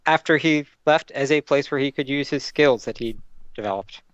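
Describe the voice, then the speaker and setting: A man's voice: mid-range voice, moderate pace, dry recording, dull audio. A man chatting casually as a player in a tabletop role-playing game. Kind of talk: casual chat.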